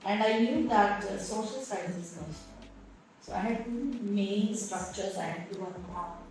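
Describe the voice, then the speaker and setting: A woman's voice: mid-range voice, moderate pace, a little mellow, far from the mic, a little hiss or hum. A woman school principal with an Indian English accent, candidly recounting her school years in an interview. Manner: reflective, candid storytelling. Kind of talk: interview, personal story. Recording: echoey room, voice from the mic.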